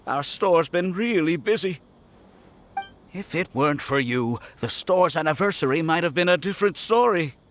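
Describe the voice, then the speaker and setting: A friendly young shopkeeper, polite and grateful, with a bright, lively voice that lifts and falls. Crickets chirp faintly behind. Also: male voice, on the high side. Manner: polite, warm-hearted, grateful.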